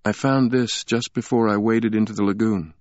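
A distraught, tearful young woman pleads in a soft, lifted voice, as a male narrator puts her on. It is a storybook reading, close and dry, with a slightly dull, muffled sound. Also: steady tone, normal speed, no echo, lightly acted. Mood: urgent. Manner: soft, pleading, raised pitch.

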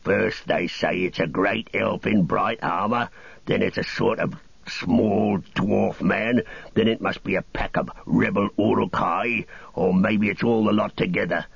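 A man's voice: on the low side, steady tone, measured pace, gravelly, husky, no echo, highs cut off, full character voice. A man reading a story, voicing a big male orc soldier who bullies and threatens. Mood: scornful.

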